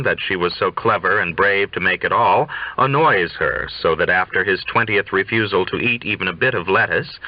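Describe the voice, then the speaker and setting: A man reading literary fiction aloud as an audiobook narrator, in a measured, deliberate way. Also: lowish voice, dry recording. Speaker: audiobook reader. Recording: dull, dry, lo-fi audio.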